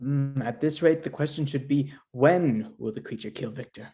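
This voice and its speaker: A man's voice: medium pitch, moderate pace, warm tone, close to an everyday voice. Man acting timid, stammering Doctor Polidori. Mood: dry, hesitant. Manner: hesitant, stammering, throat-clearing. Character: Doctor Polidori, timid, awkward male physician. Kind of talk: staged reading of a period drama.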